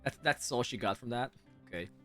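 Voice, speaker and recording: A man livestreaming a game, chatting casually with viewers and thinking out loud as he reacts. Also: medium pitch, a little fast, soft background music. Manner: chatty, reads chat aloud, thinks out loud. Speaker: game streamer.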